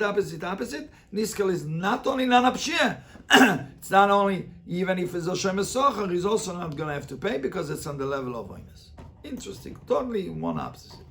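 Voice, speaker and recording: A man's voice: high-pitched, gravelly, fairly bright tone. A male rabbi teaching an interactive Talmud lesson, explaining emphatically and repeating phrases to make his point.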